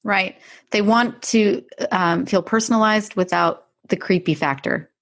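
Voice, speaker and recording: A woman hosting a conversational business podcast interview, agreeable and quick to affirm what her guest says. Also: medium pitch, slight background noise. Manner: agreeable, frequent short affirmations. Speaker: podcast host.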